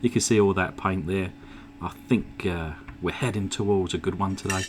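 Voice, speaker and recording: Croaky, relaxed man narrating his workbench restoration. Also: deep voice, moderate pace. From England. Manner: relaxed, chatty, many "uh" fillers. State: slightly croaky, sore throat. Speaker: model car restorer. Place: home workshop. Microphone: desk microphone.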